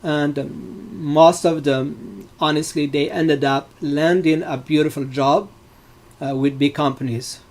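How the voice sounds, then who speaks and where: A man's voice: a little high, fairly even intonation, takes it slowly, a little mellow. A middle-aged man from Morocco giving a live online data science lesson, speaking with many fillers and loosely built sentences.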